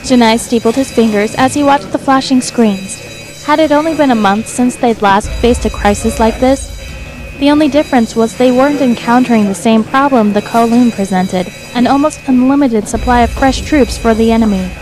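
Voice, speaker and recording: A woman's voice: on the high side, moderate pace, rough recording. A woman reading scripted narration for a dramatic fan fiction audio story. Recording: music behind the voice. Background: music.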